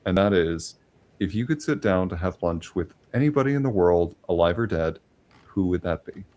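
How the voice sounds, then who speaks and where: A male podcast host who is losing his voice, thanking the listeners in a halting way with many fillers. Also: low-pitched, lively intonation. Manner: warmly grateful, halting with fillers. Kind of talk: podcast outro.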